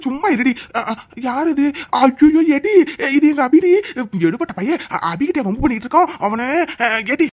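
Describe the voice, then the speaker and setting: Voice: sits high, animated, breathy edge. A performer voicing a brave, talkative middle-aged village woman. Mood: chatty. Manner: chatty, scolding, quick.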